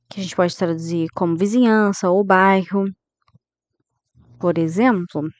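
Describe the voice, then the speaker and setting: A Brazilian woman in her thirties runs a grammar lesson, unhurried and patient in her delivery. Her voice comes through smooth and clean, recorded close and dry with no room sound. She keeps asking the class if they're with her. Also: medium pitch, a little mellow, no echo. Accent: Brazilian Portuguese. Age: 30s. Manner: didactic, checks in with listeners often; slows down to model English phrases. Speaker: English teacher.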